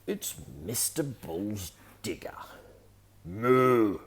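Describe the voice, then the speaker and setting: A man reading a children's picture book aloud, with gentle, often whispered asides. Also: extremely low pitch, sing-song, slow, vocal fry.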